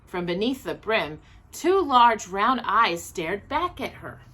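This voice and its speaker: A woman reading a children's book aloud in an expressive, deliberate way with drawn-out emphasis. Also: mid-range voice. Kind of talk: expressive read-aloud children's story narration.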